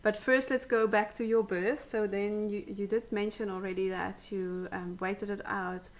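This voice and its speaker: A woman's voice: medium pitch, level tone, normal speed. A woman hosting a supportive, casual interview in an encouraging, affirming way, feeling her way through sentences. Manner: encouraging, affirming, hesitant phrasing. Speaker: interviewer, host.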